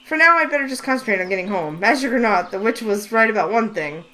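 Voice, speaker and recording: A woman's voice: medium pitch, animated, fast, pushed and bright, slight background noise, rough recording. Woman reading a curious young heroine. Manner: eager, wide-eyed questions. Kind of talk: voice acting a visual novel.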